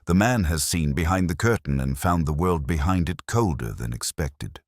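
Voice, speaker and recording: A man plays a cool, philosophical Victorian detective in an audiobook. His voice is very deep, with dramatic rises and falls, and he speaks formally and deliberately. The recording is dry. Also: expressive, no echo. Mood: grave.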